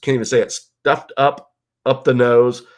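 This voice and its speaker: A man's voice: mid-range voice, flat delivery, a little slow. Brief: male host, nasal and stuffed up from a cold, loose rambling chatter, home bedroom stream. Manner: rambling, loose, full of ums and you-knows. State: stuffed-up nose and congested from illness. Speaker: livestream host. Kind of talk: casual live-stream chat reading viewer comments.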